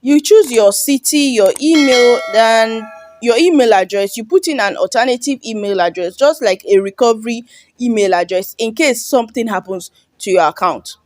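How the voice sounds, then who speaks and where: A woman's voice: a little high, moderate pace, darkish tone, no room sound. A Yoruba-speaking woman from Nigeria with a Nigerian English accent, casually walking viewers through an online sign-up form and often checking "you understand?". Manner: chatty, repeats "you understand?". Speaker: online tutorial host. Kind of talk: casual screen-recording walkthrough.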